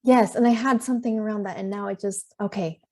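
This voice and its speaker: A woman's voice: medium pitch, steady tone, fast, a little mellow. A woman guest who is a feng shui specialist, talking in a chatty, rambling way full of fillers. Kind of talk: casual interview chat.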